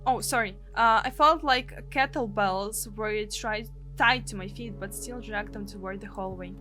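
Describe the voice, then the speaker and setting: A woman's voice: mid-range voice, a little slow, bright, forceful tone, soft background music. A woman with a Russian accent, a native Russian speaker, dramatically narrating a visual novel as a streamer. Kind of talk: dramatic narration and live translation of game text.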